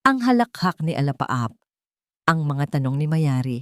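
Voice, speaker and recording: A woman's voice: deep voice, big pitch swings, moderate pace, no echo, studio quality. A woman narrating a scripted dramatic story in a solemn manner.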